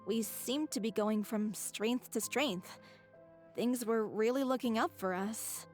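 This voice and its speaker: A woman voicing a sincere young actress in a theater troupe in a video game, gentle and wistful. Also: a little high, slow pace. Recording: game soundtrack music behind.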